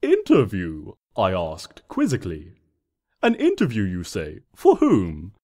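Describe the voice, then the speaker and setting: A man's voice: low voice, huge pitch swings, measured pace, a little mellow, heavily acted. A man voicing a mischievous, theatrical male chaos spirit in a comedic storybook reading, with a sarcastic, flamboyant delivery. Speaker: fan fiction reader.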